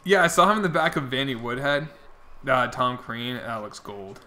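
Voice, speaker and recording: Bright-voiced young man chatting while gaming. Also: medium pitch, lively intonation, normal speed. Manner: rambling, talks to chat viewers by name. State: losing his voice. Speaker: game streamer. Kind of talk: casual chat while playing.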